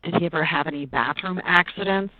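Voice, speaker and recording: A woman's voice: fairly low pitch, fast. An American woman, a police detective, questioning someone politely and informally over the phone. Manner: polite, conversational. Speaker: police detective interviewing witnesses. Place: on a telephone call. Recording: tinny, narrow phone line.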